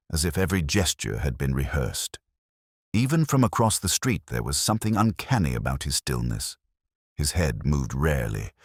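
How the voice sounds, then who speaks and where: A man narrating a Victorian detective story in a measured, literary and theatrical manner. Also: rumbling low, no room sound, studio quality. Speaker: audiobook narrator playing Dr. Watson.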